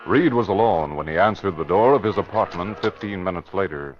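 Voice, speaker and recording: A man's voice: low voice, a little fast. A man with an American accent announcing and narrating in a formal mid-century radio style. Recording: muffled old radio broadcast recording.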